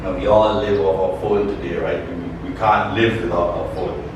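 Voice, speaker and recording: A man's voice: sits low, steady tone, moderate pace. Man, 40s, from Malaysia, cybersecurity trainer, chatty workshop delivery, distant mic. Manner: conversational, self-correcting, rhetorical questions. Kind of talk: workshop presentation. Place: training room. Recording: echoey room, far from the mic, noticeable noise.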